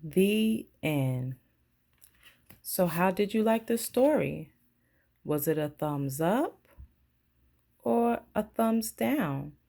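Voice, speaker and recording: A woman's voice: on the low side, expressive, very slow, gravelly, pauses often, mellow. A woman reading a children's picture book aloud as a teacher would. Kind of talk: children's storybook narration.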